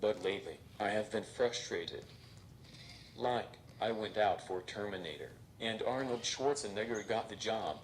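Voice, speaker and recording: A man voicing a robot stand-up comedian who delivers deadpan jokes on stage. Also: fairly low pitch, moderate pace, slight background noise, natural voice. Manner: deadpan, flat punchlines. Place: stage before a live audience.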